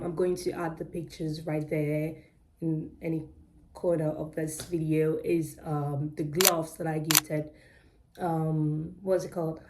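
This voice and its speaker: A young Nigerian woman with a British-leaning accent talks in a loose, rambling way, often pausing on ums and correcting herself mid-sentence. Her voice sits a little low and sounds mellow and warm. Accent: Nigerian with British-influenced English. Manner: rambling and talkative, many ums and self-corrections. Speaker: crochet vlogger. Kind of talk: casual chatty vlog.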